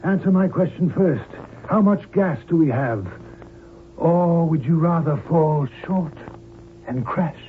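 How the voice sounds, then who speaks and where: An actor playing a fanatical, pompous cult preacher and hijacker in an old-time radio drama, speaking in pious scriptural phrases. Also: male voice, on the high side, slow pace. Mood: commanding, smug. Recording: tinny, band-limited old radio recording, hissy.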